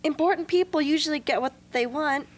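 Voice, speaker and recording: A gentle, perceptive little sister, acted by a woman in an amateur radio play. Her young girl's voice is pitched high. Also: brisk, lightly acted. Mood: earnest, reassuring. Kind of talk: amateur radio drama acting.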